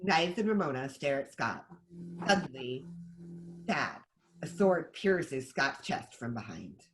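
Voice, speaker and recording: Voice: medium pitch, very animated, takes it slowly, a bit bright, a little hiss or hum. A narrator reading scripted stage directions for a table read.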